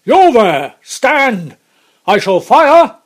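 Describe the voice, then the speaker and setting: A man's voice: very high-pitched, sing-song, extremely slow, pitched above the performer's own voice, full character voice. A man voicing an alert, suspicious mail-coach guard in an audiobook, commanding and challenging as he barks. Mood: threatening. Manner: barking, challenging. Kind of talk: audiobook character voice.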